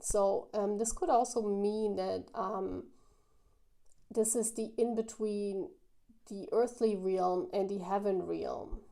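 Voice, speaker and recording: A woman with a relaxed, mellow voice of medium pitch hosts a tarot reading. She speaks casually and directly to her viewers. Her delivery is musing and halting, as she works out the meaning while she talks. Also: gravelly. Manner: musing, halting, thinking aloud. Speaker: tarot reader, host.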